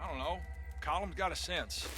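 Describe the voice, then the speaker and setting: An actor playing an outlaw gang guard in a western game cutscene. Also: male voice, on the high side, moderate pace, natural voice. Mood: uneasy. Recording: loud music over the voice.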